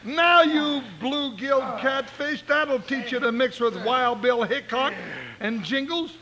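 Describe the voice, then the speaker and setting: An actor playing a big, loyal, comic cowboy sidekick in an old radio western, with folksy, excitable bluster. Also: male voice, very high-pitched, measured pace, crowd noise. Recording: noisy old radio recording.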